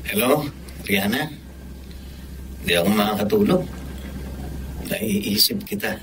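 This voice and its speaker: A man's voice: fairly low pitch, slow pace, background noise. A man playing a charming, persistent young suitor with a coaxing manner in a Tagalog romantic radio drama. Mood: tender, pleading.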